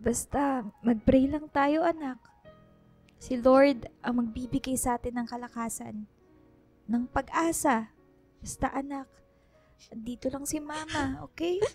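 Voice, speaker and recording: A woman's voice: high-pitched, unhurried, long pauses, darkish tone, soft background music. An actress playing a loving, worried mother in a Filipino radio drama, speaking in a pleading, soothing, emotional way with a Filipino accent. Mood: comforting. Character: loving, worried mother urging her grieving daughter to stay strong.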